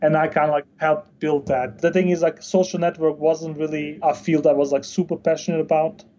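Mellow, higher-pitched man, slightly muffled. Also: level tone, a little fast. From Denmark. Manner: informal, filler-heavy speech with frequent "like". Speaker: interview guest, software founder. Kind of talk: casual interview conversation.

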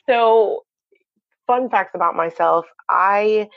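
A woman's voice: mid-range voice, slow, creaky, highs cut off. A woman with an American accent, talking casually and playfully as a guest in an interview. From born and spent early childhood in Jamaica, later moved to US. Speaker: podcast guest.

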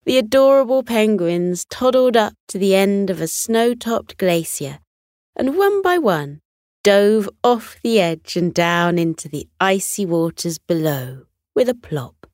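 A woman narrating a scripted bedtime story for children in a gentle, measured, soothing way. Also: medium pitch, animated, no echo, clean studio sound.

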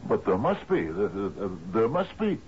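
An actor in a radio drama playing an anxious, genial older island captain, halting and stammering as he pleads. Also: on the low side, big pitch swings, a little mellow. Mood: troubled, pleading. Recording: muffled, hissy old radio broadcast.

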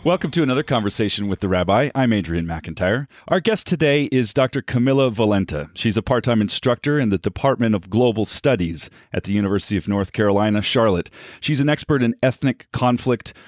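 A man hosting a radio interview show, introducing the guest and asking measured, articulate questions. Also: lowish voice, lively intonation, dry recording, clean studio sound.

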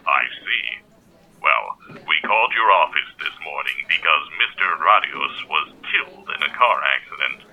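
A man's voice: a bass voice, expressive, normal speed, gravelly, husky, exaggerated voice. A man playing a formal, matter-of-fact police officer over a telephone filter in an audio drama. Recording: muffled telephone line sound.

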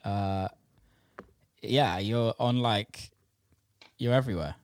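A man's voice: on the low side, slow, no echo, studio quality. A man hosting an interview show, chatting in an informal, slangy way. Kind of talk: casual conversational interview.

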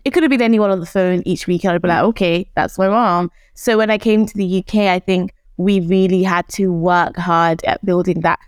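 Young zimbabwean-born woman, shona native, animated and chatty, dry recording. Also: fairly low pitch, brisk, hardly any pauses, clean tone, no echo. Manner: animated, laughs, acts out quoted voices. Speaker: guest. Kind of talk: casual interview chat.

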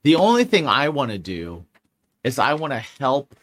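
A man's voice: mid-range voice, huge pitch swings, moderate pace, fairly bright tone, a little hiss or hum. Rambling, swearing podcast co-host man. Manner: rambling, swears freely, restarts a lot. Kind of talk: casual chat.

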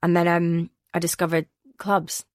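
A Scottish woman in her thirties, a radio DJ chatting about her life in a podcast interview, telling rambling stories with quick self-corrections. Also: lowish voice, little pitch movement, no echo, clean studio sound. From Glasgow, Scotland. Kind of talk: casual interview storytelling.

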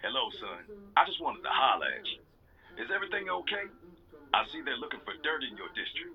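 A man playing a concerned, devout father of a grown son in an audio drama, with a quiet, dull call sound. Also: mid-range voice, huge pitch swings, a little fast.

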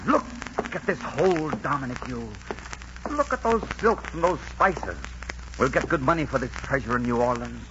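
An actor playing a bold, gentlemanly pirate captain with an authoritative manner in a 1940s radio drama. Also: male voice, medium pitch, sing-song, moderate pace, natural voice. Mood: pleased. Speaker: leading actor. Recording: dull, noisy 1940s radio recording.

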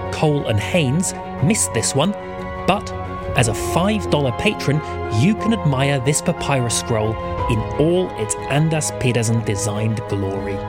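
Lively male announcer over music. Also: mid-range voice. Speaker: podcast announcer reading credits. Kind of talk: scripted narration. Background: music behind the voice.

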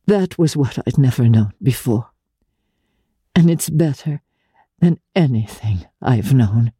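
A woman voicing an earnest, sorrowful, self-denying society lady in a hushed, fervent audiobook reading. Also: rumbling low, animated, slow pace, husky, dry recording, clean studio sound, exaggerated voice. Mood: earnest, grateful. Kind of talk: dramatic audiobook character reading.

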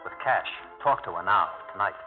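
A man's voice: low-pitched, expressive, normal speed. An actor playing a shrewd, scheming tough man with a clipped, hard-boiled delivery in old radio drama. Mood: scheming, urgent. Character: shrewd, scheming tough son, smooth with women. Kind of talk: 1940s-50s radio drama acting. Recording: tinny old radio broadcast, music behind.

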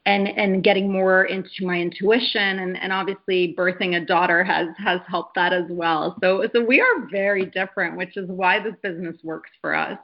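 Thirties woman guest, low-pitched, earnest and reflective, lots of "um"s and restarts, muffled call audio. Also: steady tone, moderate pace, few pauses. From Canada. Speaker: guest, network marketer. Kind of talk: casual interview chat. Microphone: video call.

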